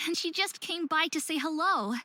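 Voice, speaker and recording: Casting: a desperate, earnest young half-faerie for a narrative game; high-pitched, dry close sound. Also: female voice, moderate pace, sharp tone, dry recording. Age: young adult. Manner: earnest, emotional delivery. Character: troubled young hybrid faerie woman, self-hating, desperate, earnest. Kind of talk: visual novel voice acting.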